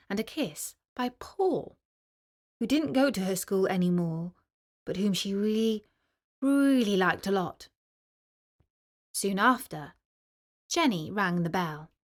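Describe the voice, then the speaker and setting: A woman storyteller narrating a children's story in an expressive, clearly articulated storybook manner. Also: mid-range voice.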